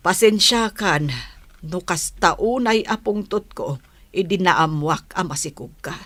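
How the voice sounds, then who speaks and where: A woman playing an older, patient village mother who gently consoles her child in a radio drama, with an Ilocano accent. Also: mid-range voice, no room sound.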